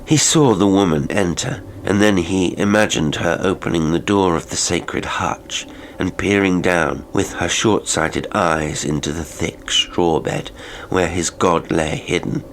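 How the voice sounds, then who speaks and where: A man narrating a classic horror audiobook in a measured, literary, theatrical manner. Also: low voice, a little hiss or hum, poor audio. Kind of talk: dramatic horror story narration. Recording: music behind the voice.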